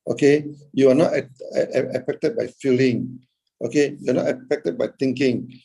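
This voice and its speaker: Gravelly, husky man giving a halting dharma talk. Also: medium pitch, steady tone, warm tone, low-quality recording. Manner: halting, frequent "okay" and "uh", rhetorical questions answered himself. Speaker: Buddhist teacher. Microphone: video call.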